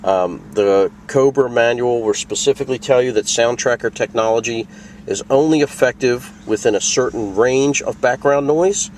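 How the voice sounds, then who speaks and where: A man's voice: medium pitch, moderate pace, a little mellow, a little hiss or hum. A man presenting a product tutorial in a casual, plain-spoken, conversational way, walking through things step by step. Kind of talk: casual instructional walkthrough.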